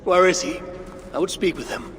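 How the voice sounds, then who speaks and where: An actor playing a grave warrior god in a video game, formal and measured. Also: male voice, high voice, a bit bright. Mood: stern. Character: solemn, grave Norse god warrior lord. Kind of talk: video game cutscene acting. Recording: long echoing reverb, faint ambient noise.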